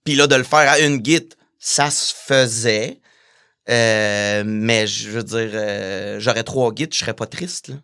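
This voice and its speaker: A man's voice: fairly low pitch, takes it slowly, bright, forceful tone, smooth. A man with a Quebec French accent, a musician guest in a casual podcast interview, hesitant with many fillers and restarts.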